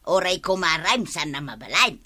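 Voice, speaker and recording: A woman's voice: fairly low pitch, sing-song, normal speed, sharp tone, heavily acted. A woman with an Ilocano accent playing a chatty, giggly, wheedling neighbor in a radio comedy drama. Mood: sheepish, wheedling.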